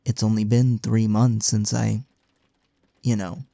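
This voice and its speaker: A man's voice: lowish voice, level tone, slow pace, gravelly, dark tone, dry recording. A young man acting in an intimate audio roleplay as a caring, teasing college best friend, talking casually and earnestly. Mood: soft, concerned. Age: young adult.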